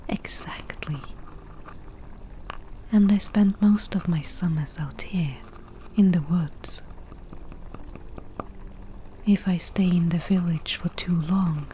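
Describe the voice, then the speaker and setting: A woman playing a chatty, mildly wicked forest witch in an ASMR roleplay, whispering softly and musingly as she talks. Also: lowish voice, a little hiss or hum, low-quality recording. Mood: dryly mischievous. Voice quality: soft breathy whisper. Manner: unhurried, musing, conversational.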